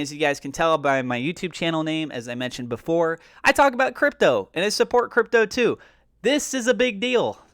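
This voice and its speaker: A man's voice: on the high side, fairly bright tone, clear, resonant tone, no echo, studio quality. A man giving a casual recap as a finance YouTuber, speaking in an informal, emphatic way and correcting himself as he goes. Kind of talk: casual recap commentary over notes.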